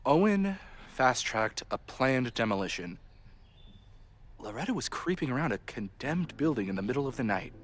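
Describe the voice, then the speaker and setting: A man's voice: medium pitch, big pitch swings, normal speed. A man playing a harried, wry university society president in a video game, theatrical and sarcastic in an exasperated way. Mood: earnest, defensive. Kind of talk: naturalistic video game acting.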